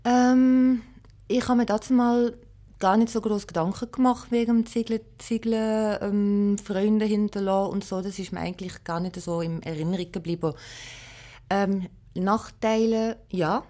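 A woman's voice: medium pitch, fairly even intonation, normal speed, dark tone. A woman from Belgium and Luxembourg, answering in a conversational radio interview, reflective and matter-of-fact. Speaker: musician interview guest, piano and singing teacher.

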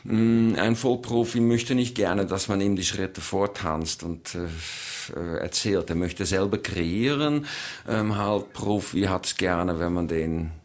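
A man's voice: deep voice, slow pace. A man from the Netherlands with a Dutch accent, a choreographer answering interview questions hesitantly, with many fillers.

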